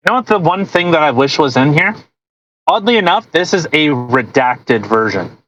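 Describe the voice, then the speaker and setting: A woman's voice: low voice, expressive, moderate pace, fairly bright tone, a little hiss or hum, rough recording. A woman hosting a podcast, chatting casually in a rambling, incredulous and mocking way. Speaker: podcast host. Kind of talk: casual chat.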